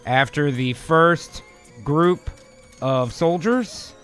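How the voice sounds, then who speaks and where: A man with a fairly high voice narrates an improvised radio drama as co-host. He is loose and casual, generally slow in his delivery, with faint music behind him. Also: a bit bright.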